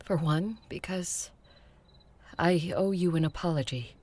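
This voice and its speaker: A woman playing an ancient vampire leader in an audio drama, earnest, measured and resolute. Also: fairly low pitch, a little mellow. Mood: contrite. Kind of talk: audio drama acting and first-person narration.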